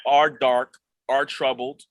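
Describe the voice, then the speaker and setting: A man's voice: medium pitch, little pitch movement, takes it slowly, dry recording. A man talking reflectively about his craft as a guest actor in an interview.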